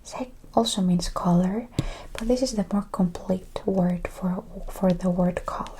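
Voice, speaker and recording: A woman gives an ASMR-style language lesson in a breathy whisper. She goes very slowly and encouragingly, breaking words into syllables and repeating them, with faint noise and small clicks in the recording. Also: on the low side, mellow. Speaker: Korean language teacher.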